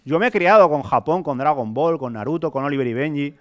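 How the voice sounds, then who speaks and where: A young man presents to a live audience in a Spain Spanish accent. His voice sits a little high for a man. He talks colloquially and animatedly, throwing out rhetorical questions and everyday examples as he sells. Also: expressive, fairly quick, lo-fi sound. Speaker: sales presenter. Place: hotel meeting room with a small audience, indoors.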